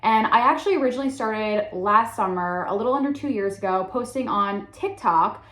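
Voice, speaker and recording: A woman's voice: a little high, fairly quick, fairly bright tone, reverberant. Chatty young New woman vlogging. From Long Island, New York. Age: 20s. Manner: chatty, heavy use of "like", candid. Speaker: content creator. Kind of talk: casual talking-to-camera introduction. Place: room in her sister's house.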